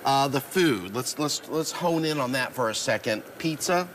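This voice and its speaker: A man's voice: mid-range voice, lively intonation, normal speed, a bit bright, a little hiss or hum. Upbeat middle-aged male TV reporter. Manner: upbeat, playful, laughs often. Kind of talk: on-location feature interview. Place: bowling alley, indoors.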